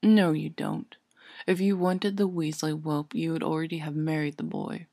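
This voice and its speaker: A woman reading an audiobook story and voicing a sardonic male wizard, deadpan and coldly unmoved, with a muttering, pointed delivery. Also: low voice, moderate pace, creaky, no echo. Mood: cold, sneering. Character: sardonic, bland, sneering adult male wizard.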